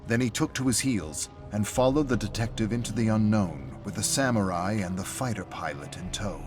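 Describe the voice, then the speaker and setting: A man's voice: lowish voice, moderate pace. A man reading scripted story narration in a dramatic style. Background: music.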